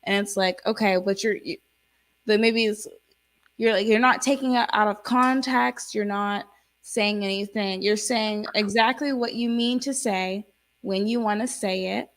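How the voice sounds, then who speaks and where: A woman's voice: medium pitch, steady tone, measured pace. A woman in casual conversation, rambling with lots of fillers and restarts. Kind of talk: casual chat.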